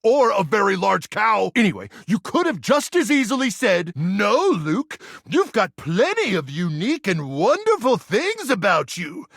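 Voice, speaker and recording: A man voicing a macho, melodramatic microwave who acts like a gung-ho action hero in a video game, with a gravelly, husky voice and bombastic, theatrical delivery. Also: sits high, wildly swooping pitch, unhurried, hardly any pauses, no room sound, clean studio sound, heavily acted. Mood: wounded, whiny. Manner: bombastic, theatrical swings from brooding to shouting. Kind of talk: video game character acting.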